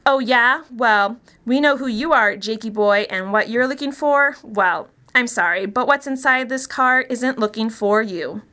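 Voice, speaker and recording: A woman's voice: on the high side, measured pace, few pauses, sharp tone, pitched above the performer's own voice. A woman reader voicing a bold, taunting female ringleader in a mystery story, mocking and full of menace. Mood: mocking, menacing. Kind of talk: acted dialogue in mystery story reading.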